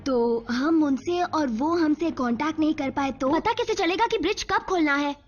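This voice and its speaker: A woman's voice: high-pitched, moderate pace, natural voice. A woman playing a worried, questioning youngster in a dubbed cartoon. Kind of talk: dubbed animated series acting. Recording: dull lo-fi audio.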